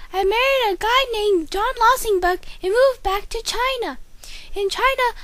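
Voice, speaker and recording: A teenage girl voicing a woman author who recounts her life in a plainly read school report. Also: extremely high pitch. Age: teens. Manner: plain, steady reading of a report. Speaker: student. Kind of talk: school project doll play.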